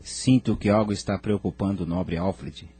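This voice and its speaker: A man's voice: low voice, moderate pace, warm tone, slightly rough, faint music behind. A man with a Brazilian accent playing a perceptive, solemn spirit in an audio drama. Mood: concerned.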